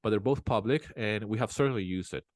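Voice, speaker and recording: A man's voice: lowish voice, quick pace, no room sound. A man as an interview guest, talking casually at home with many restarts and fillers. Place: home in a small town.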